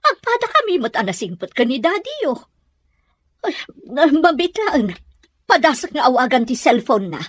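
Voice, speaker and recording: A woman's voice: sits high, very animated, normal speed. A woman acting a worried, scolding middle-aged mother in an Ilocano radio drama.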